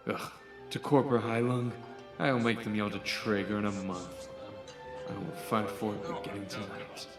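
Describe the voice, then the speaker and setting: A man's voice: fairly low pitch, steady tone, normal speed, lightly acted. A man acting an arrogant, ambitious doctor's scornful inner monologue in a radio drama. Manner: haughty, scornful muttering to himself. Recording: roomy echo, music underneath.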